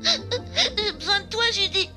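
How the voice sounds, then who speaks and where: A woman's voice: very high-pitched, sing-song, takes it slowly, exaggerated voice. A woman voicing a frightened, clingy sick little boy in a French-dubbed cartoon, pleading in a fragile way. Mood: tearful pleading. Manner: pleading, tearful. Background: music.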